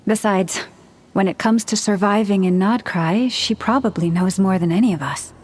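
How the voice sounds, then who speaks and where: A woman voicing a calm young adventurer who speaks in a level, reassuring way, in a video game cutscene. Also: medium pitch, moderate pace, a little hiss or hum, close to an everyday voice.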